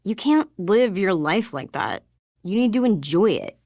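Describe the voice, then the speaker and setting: An actress voicing a candid small-town young outsider in a video game, talking casually and frankly. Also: female voice, medium pitch, expressive, unhurried, darkish tone, dry recording, studio quality. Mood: frank, resigned.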